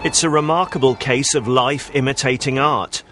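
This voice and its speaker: A man's voice: medium pitch, steady tone, moderate pace. A British man reading a wry, tongue-in-cheek scripted news report.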